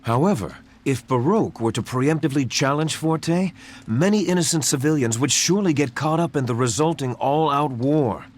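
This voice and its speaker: An actor playing a dutiful young prince in a video game cutscene, speaking courteously and gravely. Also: male voice, fairly high pitch, lively intonation, normal speed.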